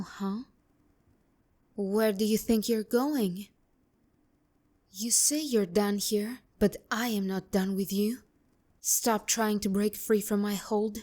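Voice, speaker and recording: Casting: actress as taunting female demon for romantic ASMR roleplay, unhurried and dark-toned, sharp tongue, breathy whispered asides. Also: medium pitch. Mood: touched, disbelieving. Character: haughty, teasing, flustered female demon, bitter romantic rival. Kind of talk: ASMR roleplay, intimate character acting.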